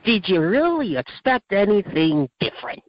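A man with a slightly rough voice, giving casual match opinions as a wrestling podcast co-host. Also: high-pitched, big pitch swings, moderate pace, no echo, muffled recording. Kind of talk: casual sports review chat.

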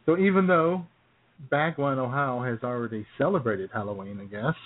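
A man's voice: medium pitch, moderate pace, phone-quality sound. A man co-hosting a comedy radio show with casual, joking chat. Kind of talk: casual comic banter.

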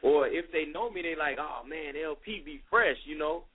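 A husky man in his thirties with a slightly high voice, talking loosely and conversationally as a radio guest. The sound is thin and tinny, like a phone line. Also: normal speed. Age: 30s. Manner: relaxed, conversational, many fillers. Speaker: youth minister guest. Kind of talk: casual radio interview talk.

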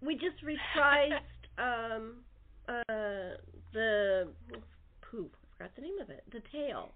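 A woman's voice: a little high, expressive, slow pace. A woman hosting a casual interview, chatting in a rambling, jokey way over a narrow phone connection. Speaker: podcast host and theater producer. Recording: narrow, tinny phone-line sound.

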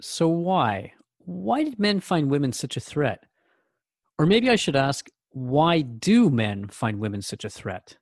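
A man's voice: fairly high pitch, big pitch swings, slow, no room sound, studio quality. A man acting as a radio host in a drama, asking questions in an earnest, interviewing manner.

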